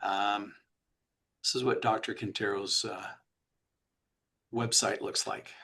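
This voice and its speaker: A man's voice: fairly low pitch, steady tone, slow pace, pushed and bright, slightly rough. A man hosts a webinar and introduces the speaker. He sounds upbeat and chatty, with a few fillers along the way. He talks through a computer microphone on a video call. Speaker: webinar host. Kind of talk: hosting a webinar.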